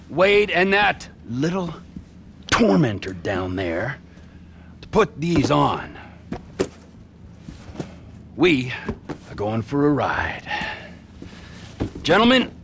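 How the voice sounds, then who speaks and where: An actor playing a volatile, crude middle-aged male criminal in a video game, mocking and domineering, swinging from mock-gentle to barking. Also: mid-range voice, gravelly, a little hiss or hum, heavily acted. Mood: menacing, commanding. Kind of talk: video game cutscene acting.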